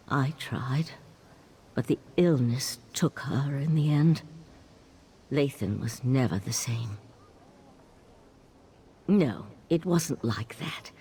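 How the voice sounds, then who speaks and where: An actress playing a troubled, sardonic mage in a video game, speaking in a weary, confiding way. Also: female voice, sits low, mellow, slightly rough, exaggerated voice. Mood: grieving, subdued, then worried, resigned. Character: troubled, sardonic female Psijic mage, grieving mother. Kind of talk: video game character dialogue. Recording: game music behind.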